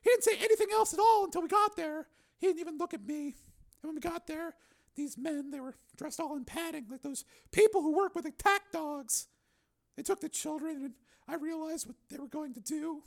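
A man voicing a grieving young woman who speaks in a high, strained, tearful way. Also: brisk, vocal fry. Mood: grief-stricken.

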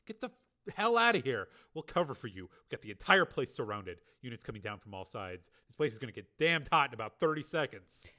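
A man's voice: mid-range voice, big pitch swings, a little fast, pushed and bright. A man playing a grim riot squad lieutenant. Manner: grim, clipped, commanding. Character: gruff male riot squad lieutenant, hard-nosed.

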